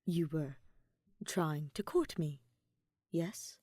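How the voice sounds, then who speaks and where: A commanding, unruffled knave of a woman, as a female narrator plays her, with a sultry voice. She speaks formally and in measured phrases, in a storybook romance. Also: mellow, no room sound, studio quality, heavily acted. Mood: formal, slightly puzzled. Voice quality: deep, sultry.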